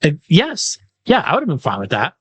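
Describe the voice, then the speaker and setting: A man hosting a casual sports podcast, chatty, with quick asides and leading questions. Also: fairly high pitch, wildly swooping pitch, fairly bright tone, slightly rough, no room sound. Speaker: podcast host. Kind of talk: casual sports chat and interviews.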